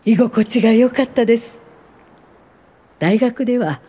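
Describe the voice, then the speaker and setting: Gentle, mellow older woman professor. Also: fairly high pitch, slightly rough. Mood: nostalgic, content. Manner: soft, polite, unhurried, old-fashioned feminine Japanese. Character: gentle older woman professor of social work, warm and encouraging. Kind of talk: radio drama acting and reminiscing narration.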